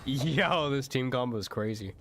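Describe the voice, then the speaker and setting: A man's voice: medium pitch, normal speed, noisy background. A man giving casual, slangy, sweary commentary as he streams a game.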